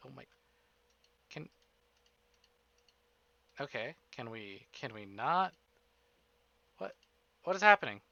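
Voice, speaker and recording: A man hosting a casual livestream, chatting in an excitable, playful, profane way. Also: mid-range voice, slow, creaky, pauses often. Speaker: livestream host. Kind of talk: casual streaming chat between visual novel readings. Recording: dull lo-fi stream audio under music. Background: prominent music.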